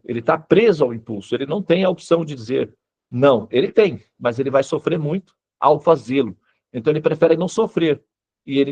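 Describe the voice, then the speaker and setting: A Brazilian man speaking Brazilian Portuguese as a spiritual teacher, explaining in an informal lecture style and often checking in with short tag questions. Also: a little high.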